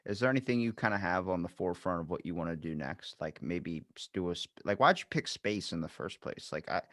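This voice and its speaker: A man's voice: low-pitched, lively intonation, brisk, fairly bright tone, dry recording, clean studio sound. A man hosting a podcast in an informal, rambling, conversational way. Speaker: podcast host. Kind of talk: casual chat.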